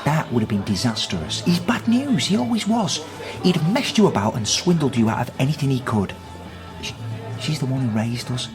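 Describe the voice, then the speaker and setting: An actor playing a disapproving, dutiful brother who reasons and lectures in naturalistic radio drama dialogue. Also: male voice, mid-range voice, big pitch swings, moderate pace, over music. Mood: reproachful.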